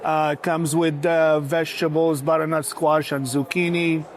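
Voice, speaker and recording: Man, restaurant founder guest, fairly high voice, halting with lots of "uh"s. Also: flat delivery, a little hiss or hum. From Morocco. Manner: hesitant, many filler sounds. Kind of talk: casual interview.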